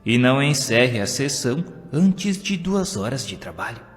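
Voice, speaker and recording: A man's voice: mid-range voice, sing-song, unhurried. A wise storybook spirit guide, put on by a man narrating, gives firm, earnest instruction in a Brazilian accent. The male voice echoes as in a large hall, with faint music underneath. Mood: firm teaching. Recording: long hall reverb, music behind.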